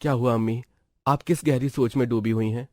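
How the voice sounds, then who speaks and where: A caring young husband played by a man in a cartoon family story, mid-pitched and earnest. He sounds gentle and attentive, closely recorded and dry. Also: steady tone, a little fast, no room sound, natural voice. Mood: curious.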